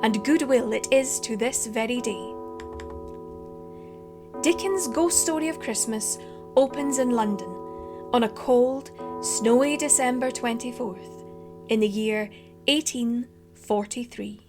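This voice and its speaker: High-voiced woman announcer over brass music. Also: slow, pauses often. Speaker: announcer introducing a radio play. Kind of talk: scripted narration. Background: loud brass music.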